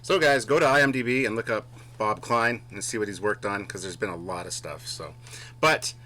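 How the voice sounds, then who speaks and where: A man's voice: on the low side, fairly quick, pushed and bright. A man hosting an interview in a conversational way, addressing guest and viewers. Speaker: interviewer, show host.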